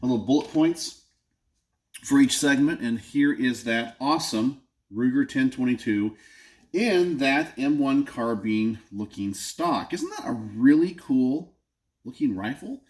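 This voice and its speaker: A man's voice: mid-range voice, animated, measured pace, darkish tone. A man with a nasal voice hosting his channel, talking casually and off the cuff into his phone in a home garage, with frequent ums and asides. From Texas. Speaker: channel host. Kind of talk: casual vlog talk. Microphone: phone camera.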